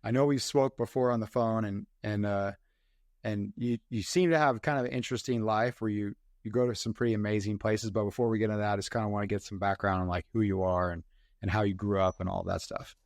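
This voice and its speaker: A man's voice: fairly low pitch, steady tone, quick pace, darkish tone, no room sound, clean studio sound. A man hosting a podcast, asking rambling questions with lots of fillers and restarts. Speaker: podcast host. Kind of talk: casual interview.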